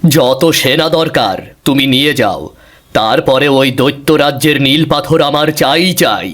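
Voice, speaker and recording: A man's voice: mid-range voice, measured pace, fairly bright tone, lightly acted. A man playing a worried, commanding king and father in a fairy-tale cartoon, grave and regal.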